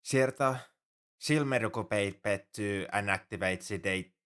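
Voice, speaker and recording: Anxious, low-voiced alien crewmate acted by a man. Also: unhurried, a bit bright. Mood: nervous, determined. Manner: fretful, formal, over-earnest, flustered. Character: anxious, paranoid male alien crewmate fussing over human. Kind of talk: ASMR roleplay audio drama.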